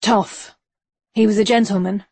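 A woman's voice: mid-range voice, steady tone, unhurried, creaky, warm tone, slightly rough, dry recording. A woman voicing a terse, guarded male investigator in an audiobook, speaking in short, clipped questions. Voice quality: curt and clipped. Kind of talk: audiobook character voice.

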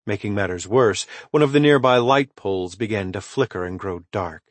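A man reading a suspense thriller novel as scripted narration, in a dramatic, measured storytelling manner with emphatic swells and hushed drops. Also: fairly low pitch, no room sound. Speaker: audiobook narrator.

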